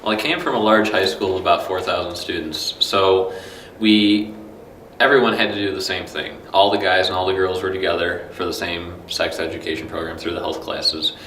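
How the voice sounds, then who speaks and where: A nineteen-year-old man from Illinois explaining his school experience in a steady, casual interview. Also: low-pitched, normal speed, recorded from a distance. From Illinois, USA. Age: young adult. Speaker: college student interviewee. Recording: echoey room, faint noise.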